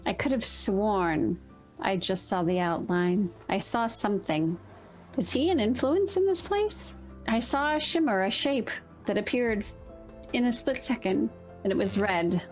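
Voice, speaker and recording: A woman's voice: mid-range voice, expressive, unhurried, soft background music. A woman playing a tabletop fantasy game, role-playing her character in a dramatic, theatrical way.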